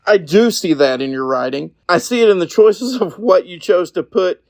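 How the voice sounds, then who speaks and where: A woman's voice: fairly low pitch, normal speed, a little mellow, dry recording. A woman hosting a podcast interview, introducing a guest and asking questions.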